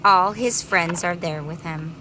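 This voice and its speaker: A woman's voice: fairly low pitch, a little slow, sharp tone, a little hiss or hum. A woman reading a children's story aloud expressively, drawing out words dramatically for young listeners.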